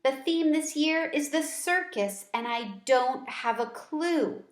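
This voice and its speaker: A woman's voice: sits high, lively intonation, slow pace, echoey room, voice pushed up. A woman reading the part of a busy, good-humored female schoolteacher in a storybook, conversational and practical. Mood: practical, amused.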